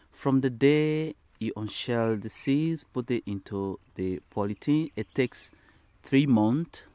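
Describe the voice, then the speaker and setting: Male trainer, Sierra Leonean accent, lower register, explanatory delivery with repeated key words, dry recording. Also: a little mellow, no echo. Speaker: agricultural trainer. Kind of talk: practical demonstration, explaining in a training film.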